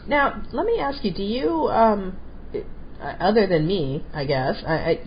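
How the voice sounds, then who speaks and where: A woman's voice: medium pitch, very animated, measured pace. A middle-aged woman hosting a podcast, talking casually while fighting a head cold. She chats freely and often repeats words as she goes. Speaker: podcast host. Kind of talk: casual conversational chat. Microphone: call over phone or Skype. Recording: dull slightly lo-fi audio with faint noise.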